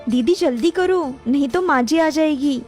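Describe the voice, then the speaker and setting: A woman's voice: sits high, normal speed, a little mellow, soft background music, close to an everyday voice. A woman voicing a deferential, worried younger daughter-in-law in a cartoon story.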